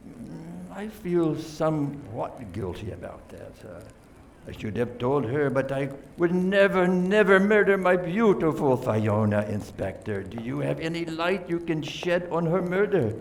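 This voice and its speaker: A man acting in a radio play as a self-pitying philanderer, gravelly, halting and melodramatic. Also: a little high, expressive, a little hiss or hum. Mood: sheepish, pleading.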